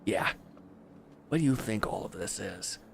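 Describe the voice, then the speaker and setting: A man's voice: on the low side, animated, unhurried, vocal fry, noisy background, full character voice. A man playing a bitter, wounded villain who is grieving and accusing, speaking with weary sarcasm in a scripted audio roleplay addressed to the listener. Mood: sardonic, accusing.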